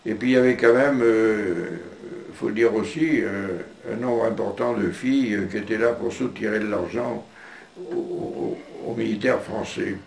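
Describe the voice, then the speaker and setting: A man's voice: fairly low pitch, a little slow, vocal fry, echoey room, a little hiss or hum. An older man recalling his past in an interview, hesitating often and restarting his phrases. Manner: hesitant, many fillers and restarts. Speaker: veteran interviewee. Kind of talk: recounting memories in an interview. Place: living room indoors.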